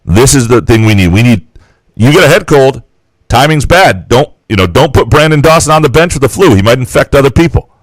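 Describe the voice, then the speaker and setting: A man's voice: mid-range voice, expressive, brisk, no room sound, rough recording. A man co-hosting a sports talk show, ranting bluntly and profanely with strong opinions. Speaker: sports talk radio co-host.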